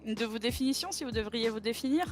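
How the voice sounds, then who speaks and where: A woman co-hosting a roleplay talk show, her voice a little higher than average. She keeps her questions short and affirms each answer before moving on, with soft music faintly underneath. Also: expressive, fast. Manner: brief, affirming, asks follow-up questions.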